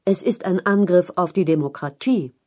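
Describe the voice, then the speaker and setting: A woman reads a long-form news article as a narrator. Her voice sits fairly low and has a very mellow, dark tone. The delivery is scripted, measured and clearly articulated. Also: animated.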